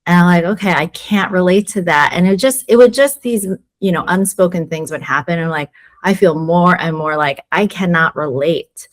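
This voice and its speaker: A woman's voice: lowish voice, moderate pace. A woman in an interview, telling her own story frankly and acting out what people said to her. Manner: frank, animated storytelling with acted-out quotes. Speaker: sales executive guest. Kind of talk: candid interview conversation.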